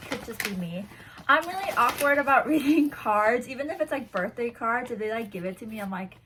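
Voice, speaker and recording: A woman's voice: on the high side, animated, fairly quick, background noise. A woman chatting casually to camera as she unboxes a gift box, bubbly and giggly, seated on a bouncy ball at home. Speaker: vlogger unboxing a swap box.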